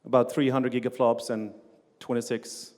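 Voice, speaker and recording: A man's voice: mid-range voice, level tone, moderate pace, a little mellow. A middle-aged man from Sweden, giving a technical conference talk with many "uh" fillers and restarts. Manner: frequent "uh" fillers and restarts, plain explanatory delivery. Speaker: conference speaker, game engine developer. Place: conference hall on stage. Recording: long reverb of a lecture hall.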